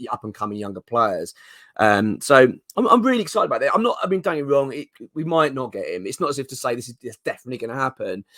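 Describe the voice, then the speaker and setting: Hoarse man chatting. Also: medium pitch, dry recording, studio quality. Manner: chatty, rambling, excitable with many ums and restarts. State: hoarse from a cold. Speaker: fan channel host. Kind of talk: casual solo commentary to camera.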